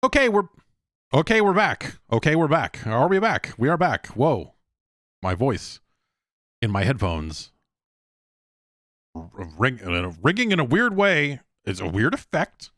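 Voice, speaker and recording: A man's voice: medium pitch, big pitch swings, unhurried, long pauses, a bit bright, dry recording, studio quality. A man hosting a podcast in a casual, rambling, wry conversational way. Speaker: podcast host. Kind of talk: casual solo podcast talk.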